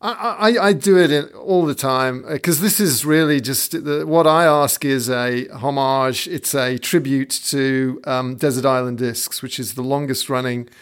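A man raised in England, hosting a podcast interview in a casual, halting way full of fillers and restarts. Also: mid-range voice, steady tone, clean tone. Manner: hesitant, many fillers and restarts. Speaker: podcast host.

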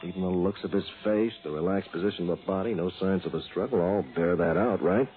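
An actor playing a plain-spoken, seasoned homicide sergeant in a 1960s radio drama, gruffly friendly and colloquial. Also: male voice, low voice, fast talker, slight background noise, natural voice. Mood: businesslike, serious. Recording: muffled old radio broadcast, phone-like band.

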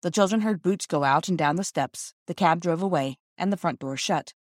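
A woman narrates a children's audiobook from a script. Her voice sits a little low, and the sound is close and dry. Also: moderate pace, dry recording.

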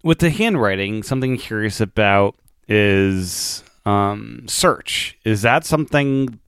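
A man hosting a podcast, relaxed and agreeable, asking follow-up questions in casual interview chat. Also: lowish voice, slow, clean tone, no echo, clean studio sound. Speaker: podcast host.

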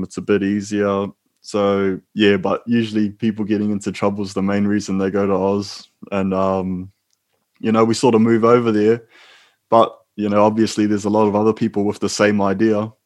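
Kiwi guy chatting easy on a podcast, kinda low voice, rambles a bit and keeps saying bro. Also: a little mellow. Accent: New Zealand. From New Zealand. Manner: laid-back, rambling, calls the host bro. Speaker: guest, former prisoner. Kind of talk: casual interview talk.

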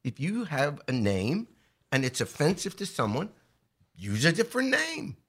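A man's voice: on the high side, wildly swooping pitch, normal speed, a bit bright, slightly rough. An American man giving conversational opinion commentary, earnest and emphatic, posing rhetorical questions. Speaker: talk show host.

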